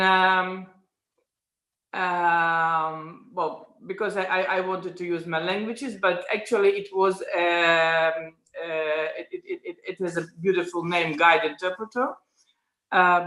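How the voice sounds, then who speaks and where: A middle-aged woman whose first language is Ukrainian, speaking English as a guest, halting and repeating words, with wry remarks. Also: on the low side, steady tone, fairly bright tone, echoey room. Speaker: guest, philologist.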